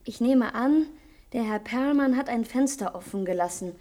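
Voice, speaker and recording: An actress playing a young adult daughter in a radio play, calm, curious and reassuring, brushing off her mother's fuss. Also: fairly high pitch, normal speed, lightly acted. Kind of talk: German radio play acting.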